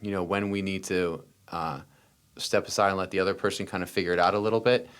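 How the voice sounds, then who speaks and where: Man, American accent, very low pitch, smooth resonant tone, earnest podcast guest, emphatic repetition. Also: fairly even intonation, quick pace, fairly bright tone. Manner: earnest, repeats phrases for emphasis, frequent "you know". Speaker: interview guest, startup founder. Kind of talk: personal storytelling in a podcast interview.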